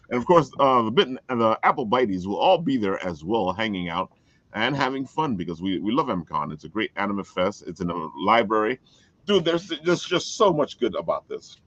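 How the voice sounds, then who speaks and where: A man's voice: medium pitch, pushed and bright, no room sound. Guy hosting a podcast, hyped casual plugs, voice goes up and down a lot, dry but dull audio. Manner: enthusiastic, plugging. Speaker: podcast host. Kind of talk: casual promotional chat.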